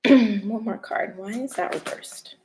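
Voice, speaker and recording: A young woman hosting a live stream, chatting casually and reading tarot cards, her voice going hoarse. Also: medium pitch, background noise. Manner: chatty, laughs often, swears casually.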